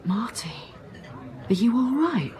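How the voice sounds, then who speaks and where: A woman's voice: a little high, sing-song, slow, darkish tone. A woman playing a firm but weary, guarded police inspector in a naturalistic radio drama. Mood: hesitant, concerned. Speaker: actor in a radio crime drama. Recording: audible background noise and ambience.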